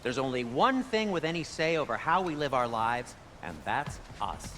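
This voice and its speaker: A man's voice: mid-range voice, very animated, normal speed, pushed and bright, a little hiss or hum. A man playing a fussy, opinionated dwarf in a video game, speaking emphatically. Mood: indignant, emphatic.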